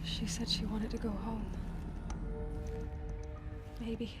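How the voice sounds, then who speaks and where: An actress playing an earnest, emotional young woman hero in a sci-fi video game, with a hushed, heartfelt delivery. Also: a little high, flat delivery, slow, over loud music. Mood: hushed, grieving. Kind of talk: dramatic video game acting.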